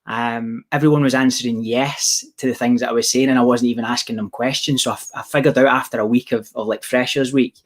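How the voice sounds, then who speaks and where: A young man from Scotland with a softened Glaswegian accent, answering questions about his business in a casual, rambling way full of restarts. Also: mid-range voice, fairly even intonation, quick pace, few pauses. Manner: rambling, many restarts and fillers. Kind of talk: casual Q&A chat.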